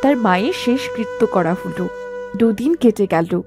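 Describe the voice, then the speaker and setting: Casting: woman narrator for scripted story, mid-range pitch, with music clearly underneath. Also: animated, moderate pace.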